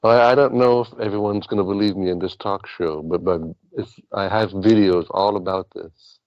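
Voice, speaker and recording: Male talk show guest, deep register, earnest and rambling, on dull low-fidelity audio. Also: fairly even intonation, moderate pace, no room sound. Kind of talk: casual rambling talk about past lives and spirituality.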